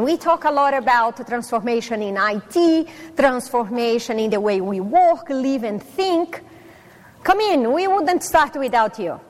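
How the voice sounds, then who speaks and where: Middle-aged Brazilian woman, Portuguese accent, slightly high voice, friendly fumbling stage talk in a reverberant hall. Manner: chatty, self-deprecating, many fillers. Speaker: conference speaker. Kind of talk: personal talk to a live audience. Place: conference hall.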